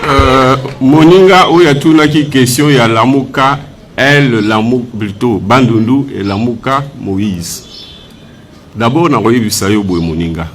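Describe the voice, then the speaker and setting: A Congolese man at a press conference, lecturing a journalist in a measured way. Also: mid-range voice, slight background noise.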